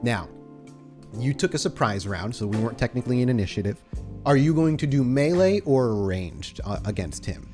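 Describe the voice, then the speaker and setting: A man's voice: mid-range voice, lively intonation, moderate pace, a little mellow, soft background music. A man running a tabletop horror game as storyteller, narrating in a descriptive, cinematic way.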